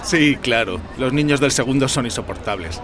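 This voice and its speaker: A man's voice: medium pitch, expressive, moderate pace, natural voice. A man playing a grumbling, complaining apartment building doorman in an audio drama. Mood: chatty. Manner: complaining, exclamatory.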